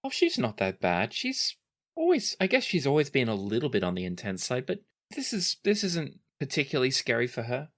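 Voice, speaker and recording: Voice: medium pitch, huge pitch swings, brisk, a bit bright, heavily acted. A tabletop player voicing a reserved, hesitant young princess who speaks with slight formality. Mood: matter-of-fact. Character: reserved young princess, reluctant, formal, matter-of-fact about war.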